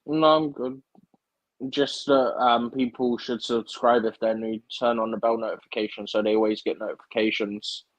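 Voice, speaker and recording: A man co-hosting a casual football stream, relaxed and explaining things plainly. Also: medium pitch, normal speed, dry recording, muffled recording. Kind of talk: casual live-stream chat.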